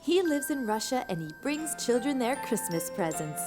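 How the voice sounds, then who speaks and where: Loving cartoon mom, tender n patient, melodic voice, music behind. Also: female voice, high-pitched, big pitch swings, normal speed. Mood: gentle, patient. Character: gentle, loving mother of a small boy. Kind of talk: children's cartoon voice acting.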